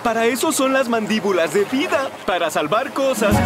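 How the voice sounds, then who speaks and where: Goofy over-dramatic cartoon fireman, latin american spanish, really high voice, swoops all over. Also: animated, measured pace. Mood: proud. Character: bumbling, excitable, good-natured young male firefighter. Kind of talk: children's cartoon dub. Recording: loud music behind the voice.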